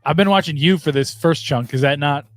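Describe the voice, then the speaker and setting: A man co-hosting a comedy podcast, excitable and jokingly boastful. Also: fairly high pitch, fairly quick. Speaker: podcast co-host. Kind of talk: casual comedic chat.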